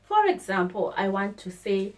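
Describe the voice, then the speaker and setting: A woman teaching vocabulary, saying each word slowly and repeating it. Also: medium pitch, sing-song. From Kenya. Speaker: language teacher. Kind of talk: slow vocabulary lesson.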